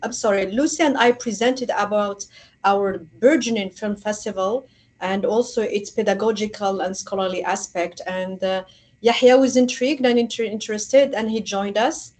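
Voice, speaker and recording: Female academic guest, medium pitch. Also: moderate pace, a little mellow. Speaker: returning guest, academic festival organizer. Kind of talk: conversational explanation in a radio interview.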